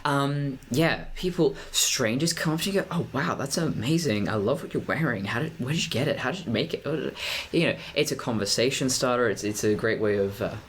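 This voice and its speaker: Deep-voiced man, measured vlog talk. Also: fairly bright tone, slight background noise. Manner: deliberate, measured pacing with frequent restarts. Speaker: vlogger. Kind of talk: personal vlog monologue.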